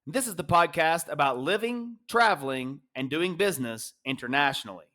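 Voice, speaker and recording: A man's voice: a little high, expressive, normal speed, pushed and bright. A man hosting a podcast, reading a scripted intro and then interviewing a guest casually. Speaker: podcast host.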